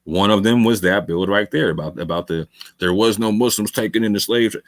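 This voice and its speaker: Male talk host, fairly low voice, emphatic and repetitive, tired late-night stream, dry close sound. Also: brisk, no room sound. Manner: emphatic, repeats phrases, calls listeners "fam". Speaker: host of a debate talk show. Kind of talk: late-night livestream commentary.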